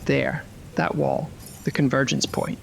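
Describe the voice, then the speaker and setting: A man voicing a terse mechanical-pawed guide creature in an audio drama, gravelly and matter-of-fact in clipped announcements. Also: mid-range voice, little pitch movement, measured pace, slight background noise. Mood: terse, alert. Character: Bite, terse guiding creature with mechanical paw. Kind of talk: scripted audio drama dialogue.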